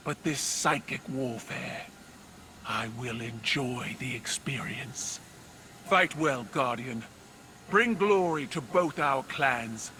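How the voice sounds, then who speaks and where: An actor playing a proud, solemn alien warrior ruler who speaks in a stately, formal way in a video game. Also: male voice, a little high, slight background noise. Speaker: allied military leader. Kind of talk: dramatic video game voice acting. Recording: loud music behind.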